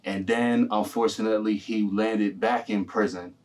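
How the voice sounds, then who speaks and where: A man's voice: fairly low pitch, steady tone, normal speed, darkish tone, recorded from a distance. A man hosting a casual interview, encouraging his guest with short replies. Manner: encouraging, many short backchannels. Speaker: host.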